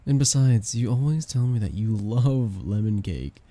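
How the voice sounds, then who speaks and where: A young man playing a playful, affectionate best friend in a casual ASMR roleplay, speaking in a soft, teasing, expressive way. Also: fairly low pitch, moderate pace. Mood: playful disbelief. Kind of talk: ASMR roleplay, casual intimate chat with listener.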